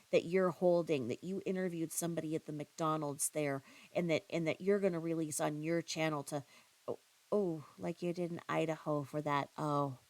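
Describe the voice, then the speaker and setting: A woman giving critical, confrontational reaction commentary, scornful and halting, often repeating her phrases. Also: deep voice, a little mellow. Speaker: commentator reacting to another creator's livestream.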